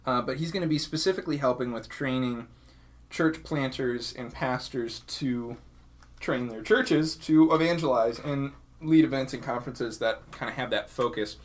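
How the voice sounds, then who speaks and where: A man hosts a podcast in a casual, chatty style. His voice sits at a middling pitch and moves like everyday conversation. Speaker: podcast host.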